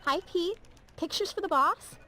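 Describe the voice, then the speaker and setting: A woman's voice: extremely high pitch, moderate pace, pushed and bright. A woman voicing a friendly, bubbly young office receptionist in a video game, sing-song in manner. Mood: cheerful.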